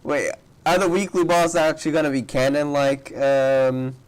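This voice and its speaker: A man's voice: a little high, level tone, moderate pace, clean tone, poor audio. A man giving casual reaction commentary on a game playthrough, thinking aloud in rambling asides. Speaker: gamer reacting to a story playthrough.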